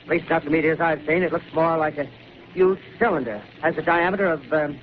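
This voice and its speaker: A man's voice: on the high side, lightly acted. Urgent radio reporter on scene, a man acting it, stammery, muffled hissy old broadcast. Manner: urgent, halting live commentary, growing frantic. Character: excitable on-the-spot radio reporter, adult man. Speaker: field reporter. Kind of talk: 1930s radio drama acting.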